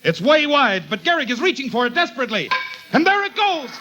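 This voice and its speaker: An actor playing an excited baseball announcer calling a game with dramatic suspense in a 1940s radio play. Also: male voice, very high voice, fast. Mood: thrilled, shouting. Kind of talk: 1940s radio sports commentary acting. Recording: vintage radio broadcast with music.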